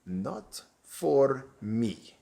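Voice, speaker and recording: A man's voice: medium pitch, big pitch swings, very slow, gravelly, warm tone, heavily acted. A man voicing a thin, pale, sinister stranger with a Romanian accent, speaking in a cold, hard, hissing way. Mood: menacing.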